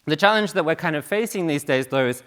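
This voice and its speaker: A man's voice: fairly high pitch, expressive, fast talker, fairly bright tone. A man in his thirties from the UK giving a casual, joking conference talk on stage in a large hall. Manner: joking, chatty, many fillers. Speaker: conference speaker. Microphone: stage mic.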